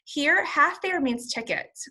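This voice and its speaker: A woman reading a children's story aloud as a first-grade teacher, expressive and instructional, pausing to explain words simply. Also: high-pitched. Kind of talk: children's read-along with vocabulary explanations.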